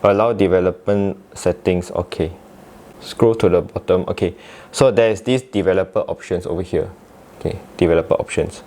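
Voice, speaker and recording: Deep-voiced Malaysian man giving a tutorial. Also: moderate pace, mellow. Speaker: tech tutorial presenter. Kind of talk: casual step-by-step software tutorial.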